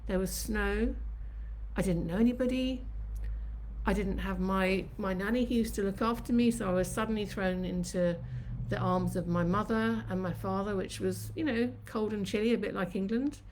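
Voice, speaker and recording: A woman's voice: medium pitch, moderate pace, a little mellow, a little hiss or hum, rough recording. Chatty woman in her fifties reminiscing. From Sri Lanka. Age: 50s. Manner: chatty and reflective, reminiscing at length. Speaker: host of her own channel. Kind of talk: live-streamed personal storytelling. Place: home kitchen.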